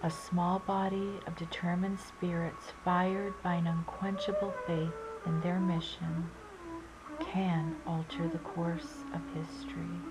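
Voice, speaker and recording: Slow, low-voiced woman reading over loud flute music. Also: poor audio. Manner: measured, deliberate, solemn. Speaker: narrator. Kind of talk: scripted inspirational reading of sayings. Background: Indian classical flute music.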